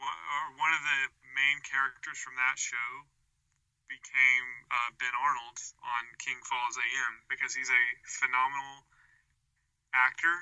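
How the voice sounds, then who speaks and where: A man speaks as an interview guest and show creator. His voice is clear and very bright, recorded dry. He chats casually, piecing thoughtful answers together as he talks. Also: mid-range voice, little pitch movement, measured pace, no echo, poor audio. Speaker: podcast creator being interviewed. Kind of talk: casual chat.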